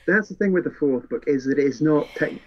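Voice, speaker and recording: A man's voice: fairly high pitch, expressive, fast talker. Dull-sounding man chatting about books. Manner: acts out characters' lines while explaining. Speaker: podcast co-host. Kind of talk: casual book chat, retelling plots.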